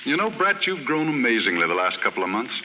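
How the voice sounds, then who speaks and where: Paternal, gently correcting author, lively intonation, 1930s radio drama, long stage reverb. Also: male voice, mid-range voice, slight background noise. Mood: fond, amused. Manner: measured, didactic, fond.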